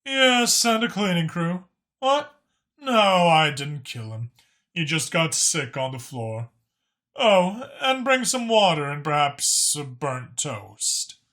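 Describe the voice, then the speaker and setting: Haughty dragon official, acted by a male narrator: raised pitch, bright and forceful, dryly exasperated, formal audiobook delivery. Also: lively intonation, slow pace, full character voice. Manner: precise, haughty, sardonic.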